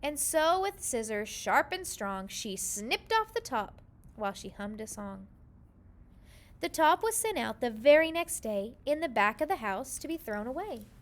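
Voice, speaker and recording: High-pitched, very animated woman reading a storybook. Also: unhurried. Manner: expressive, animated delivery with character voices. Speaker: storybook reader. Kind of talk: read-aloud children's storytelling.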